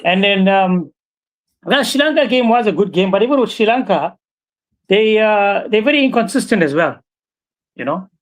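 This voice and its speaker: A man joining as a guest pundit, giving measured cricket analysis and often adding right and you know. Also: high voice, lively intonation.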